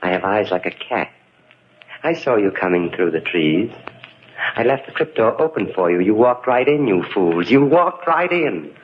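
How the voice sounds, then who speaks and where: A man's voice: lowish voice, sing-song, normal speed, a little hiss or hum. Gloating madman, muffled old radio. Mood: eerily courteous menace. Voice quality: maniacal cackling, swooping delivery. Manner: mock-polite, menacing. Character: deranged, gloating murderer haunting his brother's tomb. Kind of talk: 1940s radio mystery acting. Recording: muffled vintage 1940s radio recording.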